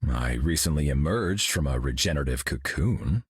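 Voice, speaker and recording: Solemn cosmic hero for a game, super low voice, husky, rises and falls a lot. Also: male voice, no echo, clean studio sound, full character voice. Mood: serene. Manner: calm, measured, grave. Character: serene cosmic golden male hero, solemn. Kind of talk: video game voice lines.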